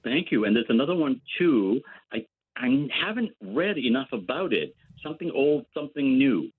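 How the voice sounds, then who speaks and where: A man's voice: mid-range voice, big pitch swings, normal speed, husky. An older man calling in to a radio show, playful and joking as he talks. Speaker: caller. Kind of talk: phone-in chat. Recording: tinny phone line.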